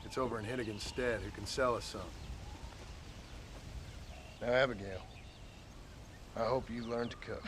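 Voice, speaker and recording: A man's voice: mid-range voice, fairly even intonation, moderate pace, long pauses, a bit bright, close to an everyday voice. A man acting as a weary middle-aged frontier rancher with a Southern American drawl. He speaks bluntly and dryly, like a reformed outlaw in a Western game, with some ambient noise behind him. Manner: dry, blunt, folksy. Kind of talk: cinematic Western game dialogue.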